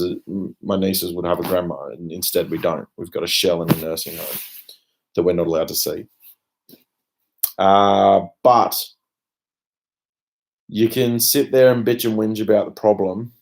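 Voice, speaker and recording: Young Australian male vlogger, low voice, unscripted rant delivery, blunt, emphatic, sweary. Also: flat delivery, moderate pace. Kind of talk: unscripted personal rant to camera.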